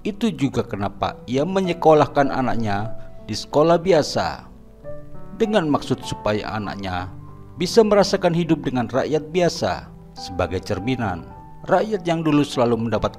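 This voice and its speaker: A man's voice: mid-range voice, sing-song, normal speed, a little mellow, soft background music. A man giving dramatic, scripted narration for a horror cartoon.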